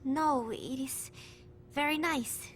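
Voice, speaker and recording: Casting: shy, guarded young female agent with schoolgirl air, game drama; high voice, slow hesitant delivery, noisy recording. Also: a bit bright. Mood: hesitant, polite.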